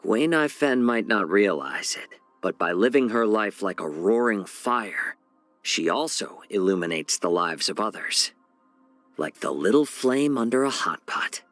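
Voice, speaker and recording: Game healer and tactician, male: lower register, composed, polite, slyly teasing, measured phrasing. Dry studio sound. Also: lively intonation, fairly bright tone, dry recording, soft background music. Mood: reflective, measured. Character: calm, wry, courteous male healer and strategist who loves spicy food. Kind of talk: scripted video game character voice lines.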